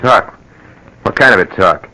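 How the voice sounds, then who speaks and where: An actor playing an anxious, guilt-ridden reluctant accomplice in an old-time radio crime drama, pleading and agitated. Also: male voice, low voice, animated, a little slow, pushed and bright, full character voice, poor audio. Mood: pleading, defiant. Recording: vintage radio drama recording.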